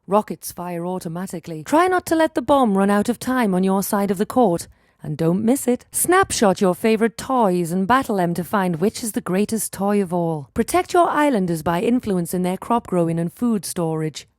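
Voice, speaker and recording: A woman reading scripted tutorial narration for a game, giving instructions in a clearly enunciated way. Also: mid-range voice, animated, normal speed, dry recording, studio quality.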